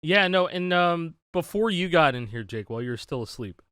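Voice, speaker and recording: A man's voice: fairly high pitch, lively intonation, fairly bright tone. A man in his twenties co-hosting a podcast, chatting casually and laughing easily. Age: 20s. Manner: laid-back, swears casually, quick laughs. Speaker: podcast co-host. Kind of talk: casual chat.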